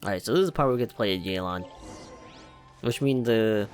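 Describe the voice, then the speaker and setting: A man's voice: fairly low pitch, fast. A young man giving casual, self-mocking reaction commentary while playing a video game, often exclaiming. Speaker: gaming YouTuber. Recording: faint game music behind. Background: video game music.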